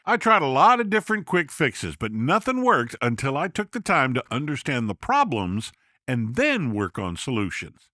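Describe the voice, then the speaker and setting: A man voicing a seasoned male contractor who calmly explains his system to other builders. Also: medium pitch, moderate pace, dry recording, clean studio sound. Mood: explaining.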